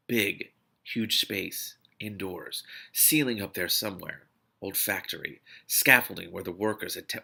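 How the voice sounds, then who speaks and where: A man with a lowish pitch and a forceful, bright edge reads a novel aloud. He is animated and expressive, readily stepping out of the text to react with excitement, as the host of a read-along. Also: vocal fry.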